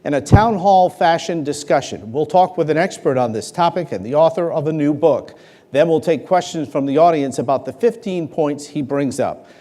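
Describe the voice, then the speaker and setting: A man's voice: fairly high pitch, moderate pace, warm tone. Formal male host in a reverberant hall. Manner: formal, introduces and steers questions. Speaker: talk show host. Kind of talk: hosting a live interview before an audience. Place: large hall with live audience.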